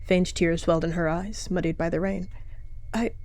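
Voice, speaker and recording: Low-voiced woman narrating a roleplay. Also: flat delivery, a little fast, darkish tone. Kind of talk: narrating a cat roleplay story.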